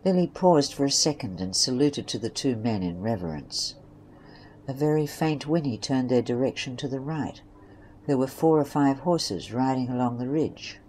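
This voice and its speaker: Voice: mid-range voice, animated, normal speed, a little mellow, rough recording. Story narrator over faint noise and ambience.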